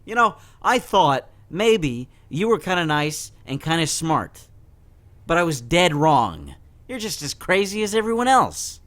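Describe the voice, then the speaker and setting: Man playing a slow, halting, awkward teenage boy. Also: on the high side, very animated, a bit bright, dry recording. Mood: exasperated. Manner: halting, stammering, hesitant. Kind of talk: comic dub dialogue. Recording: noticeable background noise.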